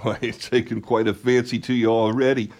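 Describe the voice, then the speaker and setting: Male actor as wheedling saloon keeper, haggling, medium pitch, radio play dialogue. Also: flat delivery, a little fast, darkish tone, natural voice. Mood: coaxing. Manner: coaxing, haggling. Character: wheedling saloon owner selling his ugly dog.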